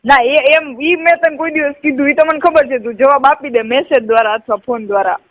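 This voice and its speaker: A woman's voice: sits high, brisk, a little hiss or hum. A young adult woman, chatting casually and intimately as a caller on a recorded phone call.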